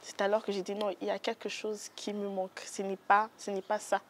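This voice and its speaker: A young woman with a Congolese French accent answers interview questions in a studio. She speaks softly and hesitantly, filling pauses as she goes. Faint background noise runs under the recording. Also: medium pitch, completely flat delivery. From Democratic Republic of the Congo. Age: young adult. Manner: hesitant, many fillers, soft-spoken. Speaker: guest photographer.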